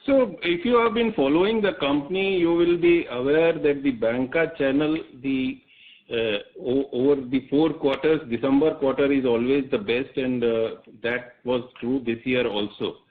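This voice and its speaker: A man with an Indian accent answering as a company executive on a conference call, in measured, broken phrases over a phone line. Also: medium pitch. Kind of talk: spontaneous answers on an earnings call. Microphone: telephone conference call. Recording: muffled narrowband phone line.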